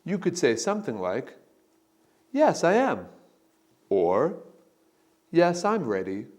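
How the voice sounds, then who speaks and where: A man narrates a language lesson at a slow, careful pace. His pitch moves a lot, lifting on each model answer he reads out with clear emphasis. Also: wildly swooping pitch, a little mellow. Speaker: instructor giving sample answers. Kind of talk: scripted instructional narration.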